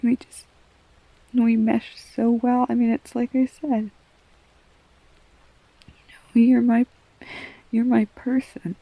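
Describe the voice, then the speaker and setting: A woman playing a tender, flustered girlfriend in an intimate roleplay audio, speaking softly and haltingly, often slipping toward a whisper. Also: on the high side, level tone, vocal fry, no echo, dull audio, full character voice. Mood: earnest, tender. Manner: halting, stammering, soft-spoken, breaking into whispers. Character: tender, flustered girlfriend blurting out a marriage proposal.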